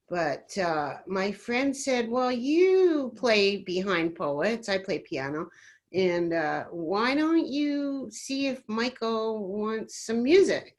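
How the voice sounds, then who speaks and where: A woman chatting and telling an anecdote in a casual way on a video call. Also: on the low side. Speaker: musician, salon participant.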